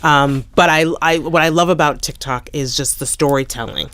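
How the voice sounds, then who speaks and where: A middle-aged woman, a playwright in a panel discussion, speaking haltingly and reflectively as she searches for words. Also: sits low, a bit bright. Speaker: playwright, interview guest.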